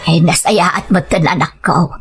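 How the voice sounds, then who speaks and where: A woman playing a gentle, plaintive elderly mother with an Ilocano Filipino accent in a sentimental audio drama. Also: on the low side, unhurried, over loud music, lightly acted. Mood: weary, gentle.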